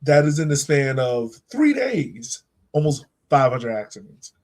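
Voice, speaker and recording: A man's voice: on the high side, very animated, measured pace, a little mellow. Informal, slangy man hosting a podcast. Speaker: podcast host. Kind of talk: casual sports fan podcast chat.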